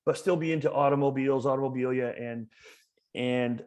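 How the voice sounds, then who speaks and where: A man's voice: mid-range voice, fairly even intonation, fairly quick, a little mellow. A man from Maine, a museum educator, talking as a podcast guest in a passionate, emphatic way, often repeating words as he builds a point. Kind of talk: casual conversational interview.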